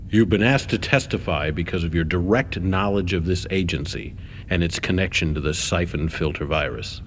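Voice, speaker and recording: A man's voice: deep voice, normal speed, slight background noise, close to an everyday voice. A man playing a stern, scheming government official who questions witnesses formally and accusingly in a video game. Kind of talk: scripted game cutscene acting. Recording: game audio with music underneath.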